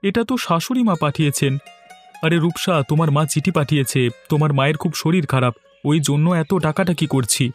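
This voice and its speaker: A man plays a greedy son-in-law who talks fast and grumbles about money. His voice sits fairly high for a man. Faint music runs under the dialogue. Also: lightly acted. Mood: informing. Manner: grumbling, sarcastic.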